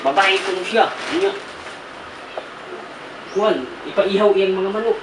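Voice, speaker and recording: A woman's voice: mid-range voice, a little slow. A woman with a Cebuano accent chatting casually, recorded in a room. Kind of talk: casual chat. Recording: echoey, distant, noisy room recording.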